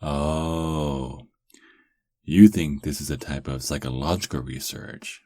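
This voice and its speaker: Man acting a very deep, sarcastic werewolf. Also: steady tone, dark tone, no echo, clean studio sound, heavily acted. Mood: sly, mocking. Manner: teasing, sarcastic, slow drawl with lingering pauses. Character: sarcastic flirtatious captive male werewolf patient. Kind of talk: ASMR roleplay acting.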